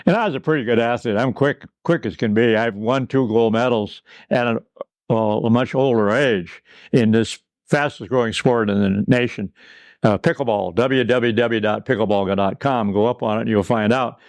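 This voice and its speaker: A man's voice: medium pitch, normal speed, dry recording. An older man from Pine Ridge, South Dakota, reminiscing in a rambling, chuckling way as an interview guest. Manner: rambling, chuckling storyteller, dry humor. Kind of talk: reminiscing in a recorded conversation.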